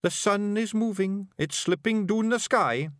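Male reader doing a timid young Scottish pictsie, high and earnest, dry close sound. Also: measured pace, fairly bright tone, no room sound. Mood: anxious. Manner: earnest, anxious. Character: young nervous male pictsie apprentice bard.

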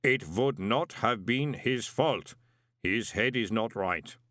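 A man voicing a huge, impassive clay golem in an audiobook, with slow, formal, measured speech and a rumbling voice. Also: fairly bright tone, no room sound, studio quality. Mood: blunt, calm. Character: impassive male clay golem, huge, literal-minded, sternly moral. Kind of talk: audiobook character voice.

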